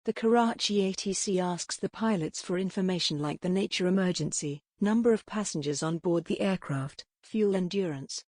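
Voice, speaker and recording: A narrator reading a script in a flat, even way. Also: mid-range voice, normal speed, few pauses, no echo, clean studio sound.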